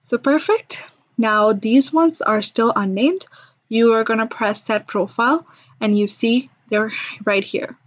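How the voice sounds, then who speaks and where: High-voiced woman giving a software tutorial. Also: a little slow, no room sound, dull audio. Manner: chatty, reassuring, step-by-step instruction. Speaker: software demonstrator. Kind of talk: informal screen-recorded tutorial narration.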